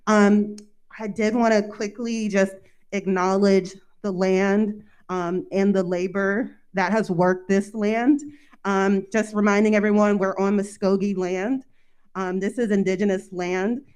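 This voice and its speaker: A woman's voice: medium pitch, level tone, a little slow, darkish tone, smooth. A woman from coastal Georgia, a journalist, casually opening a live book-event conversation with frequent ums. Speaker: interviewer, journalist.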